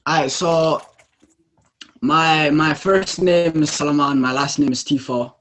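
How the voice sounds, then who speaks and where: A man's voice: fairly high pitch, steady tone, a little slow, a bit bright, a little hiss or hum. A young adult man from Botswana, a podcast guest, talking casually and hesitantly with many ums and restarts.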